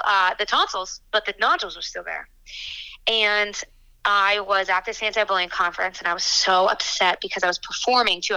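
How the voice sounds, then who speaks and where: A young woman singer-songwriter answering interview questions in a chatty, frank way, with quick asides and fillers. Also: mid-range voice, bright, forceful tone, smooth, low-quality recording.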